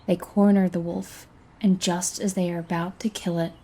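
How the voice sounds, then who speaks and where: An actor playing a sober female monster hunter with a husky, mellow voice, telling events matter-of-factly in an audio drama. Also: fairly low pitch, level tone, moderate pace. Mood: sober, grim.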